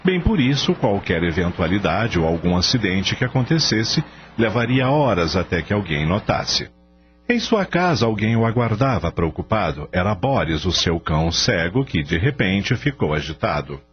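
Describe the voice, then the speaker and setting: A man's voice: low voice, sing-song, moderate pace, slight background noise. A man narrating a radio novel as scripted dramatic storytelling. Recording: dull radio-like audio, music behind.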